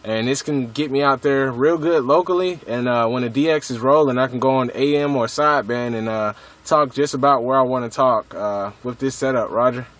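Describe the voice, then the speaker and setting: A man's voice: medium pitch, fairly quick, few pauses, background noise. An American man chatting casually as a radio hobbyist, in a laid-back, slangy way with some swearing.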